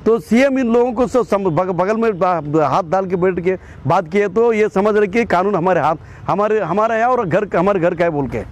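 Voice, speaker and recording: Man, high pitch, Karnataka accent, combative, repetitive phrasing, impassioned political statement. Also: moderate pace, few pauses, a little hiss or hum. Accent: Karnataka Hindi. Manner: combative, haranguing, repeats phrases. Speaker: political activist and state president of an organisation.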